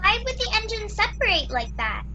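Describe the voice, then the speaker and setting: A woman's voice: extremely high pitch, very animated, a little fast, pushed and bright, exaggerated voice. A woman playing an innocent, inquisitive little girl on a starship in a sci-fi radio play. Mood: innocent, questioning. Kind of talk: science fiction radio play acting.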